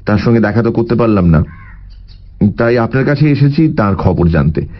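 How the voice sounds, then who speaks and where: An actor playing a polite, probing private detective in an audio story, with courteous, measured questions. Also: male voice, medium pitch, big pitch swings, muffled recording, close to an everyday voice. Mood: calm, inquiring.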